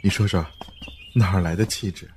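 Man acting deep, mellow, calm male hero. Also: moderate pace, a little hiss or hum, natural voice. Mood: gently teasing. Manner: calm, understated. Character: calm, indulgent, teasing male rescuer. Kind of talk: Chinese audio drama acting.

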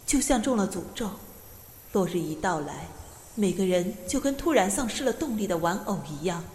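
An actress playing the solemn, measured storyteller of an eerie fable, narrating a radio drama. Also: female voice, mid-range voice, expressive, darkish tone, a little hiss or hum, close to an everyday voice. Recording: echoey with long reverb. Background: background music.

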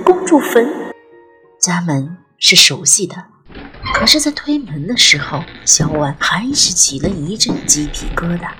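A woman narrating a ghost story as a scripted, dramatic audio drama. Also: on the low side.

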